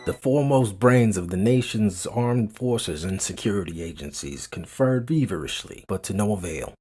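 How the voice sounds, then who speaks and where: A man's voice: fairly low pitch, lively intonation, moderate pace, a little mellow, breathy edge, faint music behind. A man playing the narrator of a comic book dub, reading scripted lines dramatically. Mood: dramatic.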